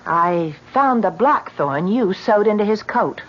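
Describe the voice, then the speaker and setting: Radio horror heroine: earnest sceptic, insistent, widely swinging pitch, on a dull old recording. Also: female voice, animated, unhurried. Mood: disbelieving, confronting. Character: earnest, sceptical woman, defiant sister and narrator of horror tale. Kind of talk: 1970s radio drama acting.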